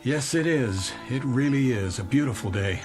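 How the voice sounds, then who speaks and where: A man's voice: medium pitch, expressive, moderate pace, over music, lightly acted. An American actor playing a weary, distracted middle-aged commuter in a radio drama, polite and hesitant as he speaks. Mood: relieved. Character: weary, distracted married male commuter, wistful and evasive.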